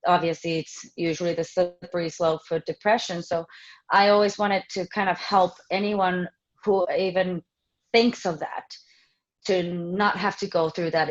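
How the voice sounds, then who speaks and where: A woman in her thirties from Finland, answering interview questions casually, with many pauses, ums and restarts. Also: on the low side, muffled recording. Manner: hesitant, many ums and restarts, laughs often. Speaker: fitness trainer interviewee.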